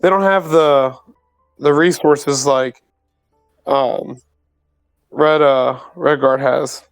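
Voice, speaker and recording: A man who plays in a tabletop game and answers in a relaxed, casual way. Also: a little high, very slow, clean tone, dry recording, faint music behind. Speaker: player.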